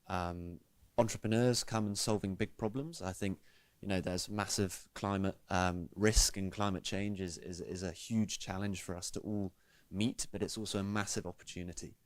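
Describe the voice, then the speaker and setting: A man from the United Kingdom talks with enthusiasm on a panel, scattering ums through his speech. His voice is very deep and stays flat in pitch. The recording is dry and close. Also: moderate pace, no echo. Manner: enthusiastic, filler-heavy with many ums. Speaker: panel guest. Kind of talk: panel comment.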